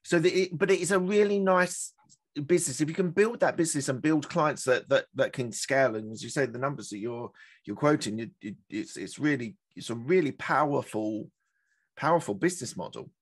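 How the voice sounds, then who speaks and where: A man's voice: medium pitch, brisk, slightly rough, dry recording, studio quality. A man hosting a business podcast interview, asking questions in a chatty way with rambling asides. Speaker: podcast host.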